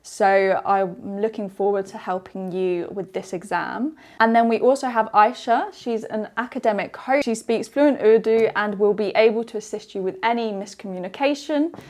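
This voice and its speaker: A woman from the UK presents an online exam webinar as its trainer. Her voice sits at a middle pitch, and she explains each slide in a steady way. She often restarts sentences with "so" as she talks. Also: moderate pace, hardly any pauses.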